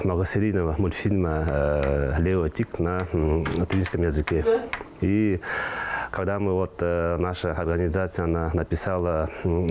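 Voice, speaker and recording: A man from Tuva, a native Tuvan speaker, giving an unscripted interview haltingly, with constant hesitation sounds as he searches for words. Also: low voice. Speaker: project organizer being interviewed.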